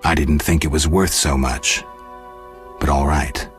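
Stoic male monster hunter, extremely low voice, deadpan, terse, fantasy game, music behind. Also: measured pace. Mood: level, businesslike.

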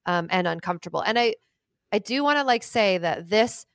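A woman's voice: medium pitch, animated, brisk, dry recording. Chatty young woman, dry close mic. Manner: chatty, lots of "like" and fillers. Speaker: podcast co-host, intimacy coach. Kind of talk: casual advice chat. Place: small room at home. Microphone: studio mic on stand, headphones, remote call.

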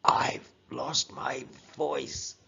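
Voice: mid-range voice, little pitch movement, drawn-out words, vocal fry, sharp tone, lo-fi sound, heavily acted. Narrator as a whispering, voiceless poorly boy. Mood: weak, sad. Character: Rory, poorly young boy who lost his voice.

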